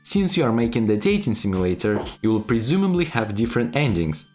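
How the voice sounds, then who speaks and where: A man's voice: medium pitch, sing-song, brisk, darkish tone, soft background music. A man narrating a tongue-in-cheek video tutorial in a playful, mock-serious, emphatic way. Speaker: video creator giving sarcastic game-making tips.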